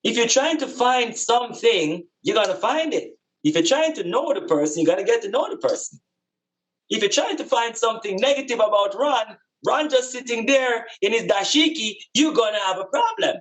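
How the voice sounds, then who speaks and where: A man's voice: very high-pitched, animated, normal speed, a bit bright, low-quality recording. A man with a Jamaican accent giving a reflective spiritual talk in an emphatic, preaching manner, with repeated phrases and rhetorical questions.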